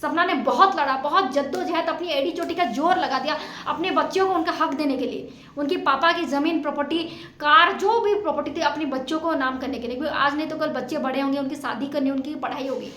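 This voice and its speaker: A woman's voice: high voice, brisk, a bit bright, slight background noise. A woman talks straight to her viewers. Her commentary is informal and opinionated, pressed home with emphatic, indignant rhetorical questions. The sound is echoey, like an untreated room. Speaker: vlogger commenting on a family dispute.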